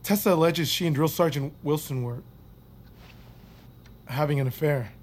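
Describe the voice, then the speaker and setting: An actor playing a cautious, conscientious army psychiatrist in an audio drama, measured and slightly hesitant as he reasons. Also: on the high side, natural voice. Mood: probing, concerned.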